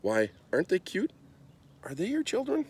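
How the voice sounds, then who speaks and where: A man voicing a kind, earnest young prince in a storybook, tender-hearted and expressive. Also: sits high, normal speed, slightly rough, pitched above the performer's own voice, exaggerated voice. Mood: gently concerned.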